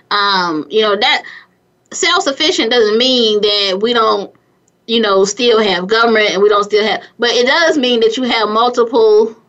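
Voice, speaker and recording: A woman who grew up in California with a squeaky voice, hosting a casual motivational talk show, chatty and energetic with frequent laughs and restarts. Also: dull audio.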